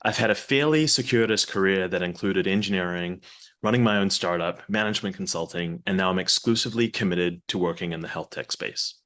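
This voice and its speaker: A man who is a company executive, delivering a scripted, prerecorded video message in a measured way. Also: sits low, a bit bright. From New Zealand.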